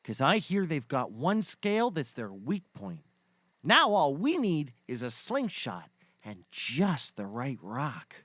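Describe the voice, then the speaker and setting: A man plays a naive young male sidekick in an audio comedy. He is earnest and eager, with an animated, swooping voice taken at an unhurried pace. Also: mid-range voice, no echo, exaggerated voice. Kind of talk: scripted audio comedy acting.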